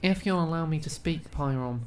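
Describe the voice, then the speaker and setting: A woman's voice: low-pitched, normal speed, a little mellow, natural voice. Dry, strict academy lecturer, acted by a woman. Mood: dry, reproving. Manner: formal, reproving. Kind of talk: fantasy audio drama acting.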